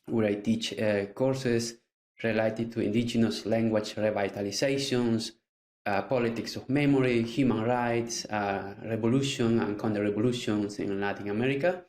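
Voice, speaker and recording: A man from Peru with a Peruvian Spanish accent and Quechua as his first language, speaking haltingly as a podcast guest. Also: fairly low pitch, steady tone, darkish tone, echoey room. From southern highland Andes of Peru. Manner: halting, many fillers. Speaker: guest historian. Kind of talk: podcast interview.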